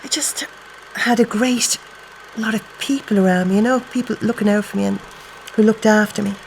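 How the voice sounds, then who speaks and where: A woman's voice: medium pitch, fairly even intonation, moderate pace, gravelly, slight background noise, natural voice, poor audio. An actress playing a grateful middle-aged adopted woman, fondly and conversationally recalling childhood in a dramatised testimony. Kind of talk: dramatised first-person testimony. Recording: faint music behind.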